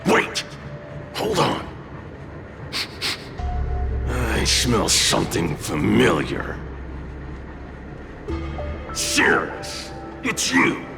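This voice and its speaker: Brash, wildly swinging fiery spirit. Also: male voice, on the low side, wildly swooping pitch, drawn-out words, creaky, long pauses, exaggerated voice. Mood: indignant. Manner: brash, shouting, indignant. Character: boastful, hot-tempered fiery spirit sealed inside a young judge. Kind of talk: video game voice acting. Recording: loud music behind the voice.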